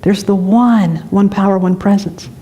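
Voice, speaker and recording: A woman from North Carolina, a minister giving a lively, conversational sermon in a church, laughing often as she tells stories. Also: mid-range voice, a little slow. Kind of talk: sermon with storytelling. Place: church sanctuary.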